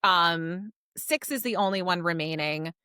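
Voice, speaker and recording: Bright, emphatic woman recapping a book. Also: on the low side, measured pace, clean tone, no echo, studio quality. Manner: chatty, informal, emphatic retelling. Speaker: podcast co-host. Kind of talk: casual book discussion recapping a story.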